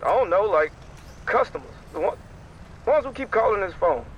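Creaky, animated, wheedling man. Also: high-pitched, normal speed, muffled recording, a little hiss or hum. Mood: indignant. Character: easygoing, wheedling man, quick to protest. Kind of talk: naturalistic audio drama acting.

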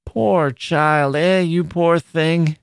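A man's voice: on the high side, fairly even intonation, drawn-out words, creaky, clean tone, dry recording, clean studio sound, pitched above the performer's own voice, exaggerated voice. A man voicing a fretful elderly miner's mother from the English Midlands, who weeps, laments and rambles. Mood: lamenting. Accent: English Midlands dialect. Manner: whining, lamenting, repetitive.

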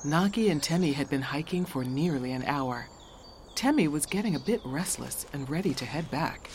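A woman narrating an audio drama in a measured, scripted storytelling style. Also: low-pitched, expressive, a little mellow, slight background noise.

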